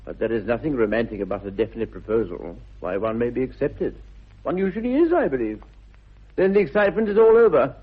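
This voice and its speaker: An actor playing a witty, self-indulgent young Victorian gentleman with an upper-class English accent, arch and languid, in 1960s British radio comedy style. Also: mid-range voice, animated, fast, husky, narrow, tinny audio. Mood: arch, opinionated. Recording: thin, band-limited old radio recording.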